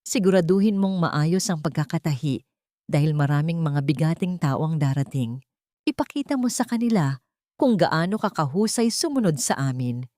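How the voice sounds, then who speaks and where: A woman's voice: low-pitched, expressive, normal speed, warm tone, no echo, clean studio sound. A woman playing a cold, haughty old matriarch in an audio story, imperious and belittling. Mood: cold, commanding.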